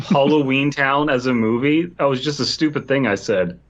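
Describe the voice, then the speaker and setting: A man with an average-pitched voice co-hosts a casual podcast. He reads stories aloud and banters loosely with the group. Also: normal speed, highs cut off. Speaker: podcast co-host reading a story aloud.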